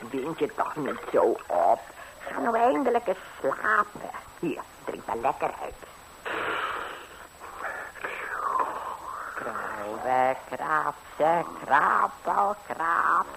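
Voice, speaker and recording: A woman's voice: deep voice, very animated, takes it slowly, creaky, full character voice. A female actor playing a wily old woman who coaxes and soothes, in a radio fairy tale. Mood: crooning. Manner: coaxing, humouring, crooning. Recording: faintly noisy 1960s radio recording.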